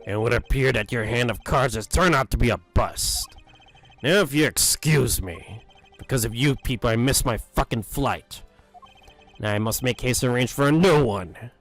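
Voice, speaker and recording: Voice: medium pitch, normal speed, faint music behind, pitched below the performer's own voice, natural voice. A performer voicing an arrogant, sneering male villain in a fan dub of a game. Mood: haughty. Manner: haughty, sneering.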